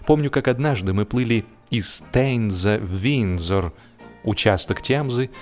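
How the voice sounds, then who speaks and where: A male audiobook narrator with a medium-pitched voice tells a humorous tale. His scripted delivery is measured and expressive, and faint music accompanies the reading.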